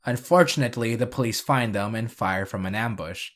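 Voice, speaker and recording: A man's voice: fairly low pitch, a little fast, a bit bright. A man narrating a scripted video review and recap, with dry, sarcastic asides.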